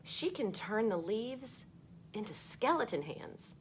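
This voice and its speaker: A woman reading a picture book aloud for children, expressive, with chatty asides spoken straight to young listeners. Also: medium pitch, slow, slight background noise.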